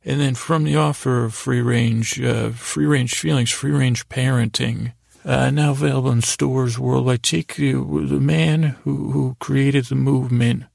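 A man with a heavily creaky, gravelly voice delivers a meandering bedtime monologue. His pitch moves very little, and he keeps halting and interrupting himself with droll, silly tangents. The sound is close and dry, with faint music behind him. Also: steady tone, darkish tone, no room sound. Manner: halting, meandering, self-interrupting, droll mock-serious silliness. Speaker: podcast host.

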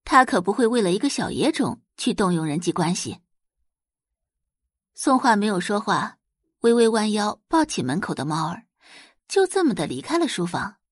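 A woman's voice: medium pitch, very animated, normal speed, slightly rough, no echo, clean studio sound. A woman giving scripted, dramatic narration as the narrator of an audiobook drama.

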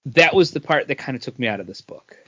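A man's voice: mid-range voice, lively intonation, fast talker, a bit bright, slightly rough, no echo. A man hosting a podcast in a casual, conversational review of comic books. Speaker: podcast host. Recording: dull, dry lo-fi sound.

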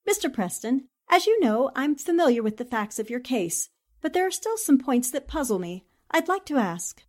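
A high female voice from an actress plays a principled newspaperwoman in a forties radio drama. She is articulate and courteous, with a firm, assertive way of pressing her questions. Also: sing-song, moderate pace, a little mellow. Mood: polite, probing. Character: determined, principled newspaperwoman investigating a murder case. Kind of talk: 1940s radio drama acting.

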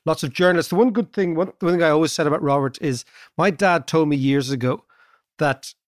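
A man's voice: on the high side, fairly quick, no room sound, studio quality. A man hosting a podcast interview, asking long, conversational questions. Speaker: podcast host.

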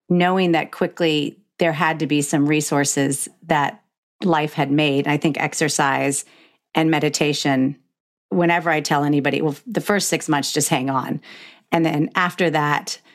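Low-voiced woman in candid conversation. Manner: candid, reflective, emphatic, mildly sweary. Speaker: podcast host. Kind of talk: intimate conversational interview.

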